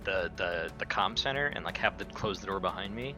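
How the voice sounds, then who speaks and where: A man's voice: sits low, lively intonation, fairly quick, music in the background. A man chatting casually as a player at a tabletop roleplaying game.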